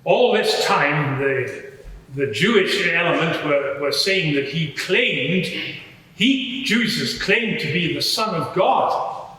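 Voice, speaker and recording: A man playing a matter-of-fact Roman centurion giving hesitant, measured testimony as a witness at an inquiry. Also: fairly high pitch, lively intonation, sharp tone, heavily acted. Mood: earnest, unsettled. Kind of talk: semi-improvised courtroom testimony. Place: large reverberant courtroom. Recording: very echoey hall, distant mic.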